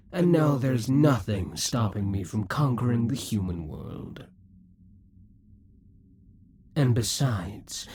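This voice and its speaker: A possessive, scheming demon king, played by a man in an audio roleplay. His voice is husky and breathy, and he often drops to a half-whisper. He draws his words out slowly, and his pitch rises and falls freely. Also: dark tone, exaggerated voice.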